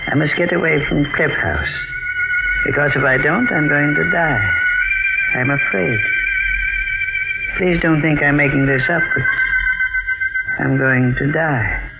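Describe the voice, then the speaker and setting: An actor playing a sly, oily elderly gentleman blackmailer in an old-time radio mystery, mock-courteous and theatrical. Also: a little high, wildly swooping pitch, slow, long pauses, exaggerated voice. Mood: smug. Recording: lo-fi vintage radio, faint music.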